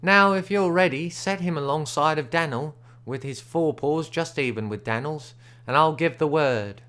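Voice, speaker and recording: A man's voice: fairly high pitch, moderate pace, fairly bright tone, smooth, voice pushed up, close to an everyday voice. Smiley, a man doing a drawling frontier gambler. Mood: easy, bragging. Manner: folksy drawl, backwoods grammar.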